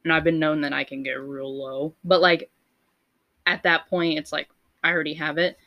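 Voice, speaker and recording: A woman's voice: little pitch movement, moderate pace, lo-fi sound. Giggly young woman reading poll questions. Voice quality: low voice. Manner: giggly, loose and chatty. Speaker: podcast co-host. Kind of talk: casual podcast chat, reading out poll questions.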